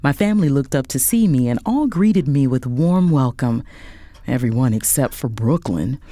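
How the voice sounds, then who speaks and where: A woman playing a proud, composed young lawyer and elder sister, narrating and acting in a first-person audio drama with deliberate expression. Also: extremely low pitch, lively intonation, dark tone, no room sound. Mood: reflective.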